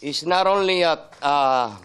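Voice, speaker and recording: A man's voice: a little high, measured pace, fairly bright tone, clear, resonant tone. An older man from Spain with a Spanish accent, explaining his work as a sculptor in an interview. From Spain, born in Andalusia, grew up in Madrid.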